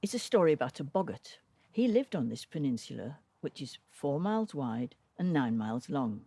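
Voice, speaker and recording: A woman reading a traditional folk tale aloud as a storyteller, with dramatic, deliberate phrasing. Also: rumbling low, very animated, vocal fry, mellow, breathy edge, no room sound.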